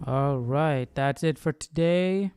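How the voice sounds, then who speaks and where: A man hosting a casual Bible study, reading aloud and commenting as he questions himself and thinks out loud. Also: a little high, takes it slowly, soft background music. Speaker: host of a Bible study stream.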